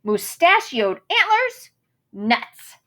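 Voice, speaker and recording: A woman's voice: high voice, very animated, drawn-out words, pushed and bright, full character voice. A woman voicing a fussy, exasperated male moose from a children's storybook, with dramatic exclamations.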